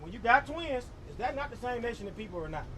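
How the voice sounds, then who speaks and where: A man street preacher debating forcefully, interrupting and repeating himself, recorded at a distance outdoors. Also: very high voice, big pitch swings, fast talker. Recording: distant mic, crowd and traffic behind.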